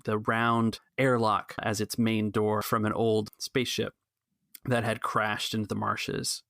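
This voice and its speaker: A man's voice: lowish voice, fairly bright tone, dry recording, clean studio sound. A man narrating a tabletop role-playing game as its game master, setting scenes descriptively.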